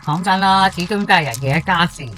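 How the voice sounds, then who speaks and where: A woman plays a housewife in her sixties from an old housing estate, with a Hong Kong accent and a slightly low voice. She is chatty and superstitious, spinning an audio-drama ghost tale like neighborhood gossip, full of drawn-out particles and exclamations. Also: a bit bright, slight background noise, natural voice. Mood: gossipy, chatty. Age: 60s. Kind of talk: first-person ghost story monologue for audio drama.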